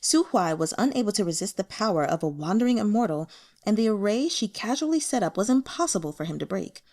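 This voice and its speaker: Animated woman narrating a story. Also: mid-range voice, quick pace. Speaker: audiobook narrator. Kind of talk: expressive scripted story narration.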